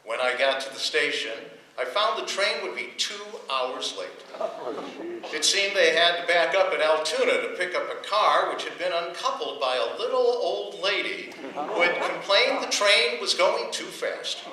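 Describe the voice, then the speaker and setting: A man's voice: high voice, expressive, unhurried, a crowd in the background. A man playing a wry, put-upon, grumbling husband who narrates an old-time radio comedy. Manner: dry, wisecracking, grumbling. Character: wry, put-upon husband telling his domestic troubles. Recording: echoey room, distant mic, faint noise.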